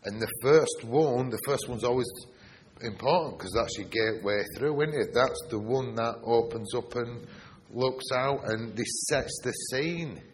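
A man's voice: mid-range voice, unhurried. A man with a Yorkshire accent preaching a sermon, stressing key words and asking the congregation rhetorical questions. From Yorkshire, England. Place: church hall. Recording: reverberant hall with faint noise.

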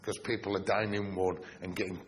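A Yorkshire man preaching in a church, his fairly low voice carrying a long reverb through the hall. He leans hard on single words and tosses rhetorical questions to the congregation. A faint hiss sits under it all. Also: flat delivery. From Yorkshire, England. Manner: emphatic, drawn-out stresses with rhetorical questions. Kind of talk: sermon.

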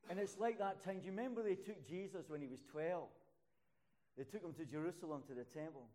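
An older man preaching in a church hall. His voice sits a little high for a man, and he tells stories from his ministry with mounting emphasis, pushing up into loud, high peaks to drive a point home. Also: fairly quick. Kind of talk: sermon with personal stories.

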